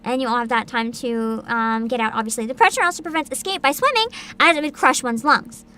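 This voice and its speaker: A woman's voice: fairly high pitch, fairly quick, pushed and bright. A woman hosting a livestream, chatting casually and reading bits aloud in a bubbly, enthusiastic manner. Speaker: virtual streamer host.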